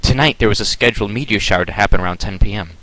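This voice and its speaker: A man reading his own fan story aloud as a soft, hushed dramatic narrator. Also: lowish voice, racing, dry recording, poor audio.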